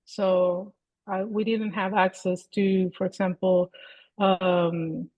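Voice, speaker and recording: A Venezuelan woman in her forties speaks over a video call, thinking aloud and often circling back to restart a phrase. Her voice sits at an ordinary pitch and is captured close and dry, with no room behind it. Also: flat delivery, no room sound, dull audio. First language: Spanish. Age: 40s. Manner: thoughtful, halting, many restarts and fillers. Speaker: guest artist.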